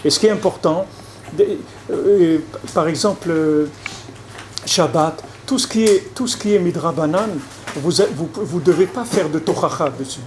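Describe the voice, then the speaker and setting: A man's voice: a little high, normal speed. A man, a rabbi, giving a conversational public lecture, often repeating and restarting his words and checking that listeners follow. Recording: noisy lecture recording.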